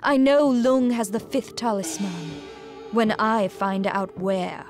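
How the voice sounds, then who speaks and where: An actress playing a grave, determined noblewoman war leader in a video game, solemn and measured. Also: mid-range voice, soft background music, natural voice. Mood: pained, vengeful. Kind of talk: video game cutscene acting, dramatic briefing.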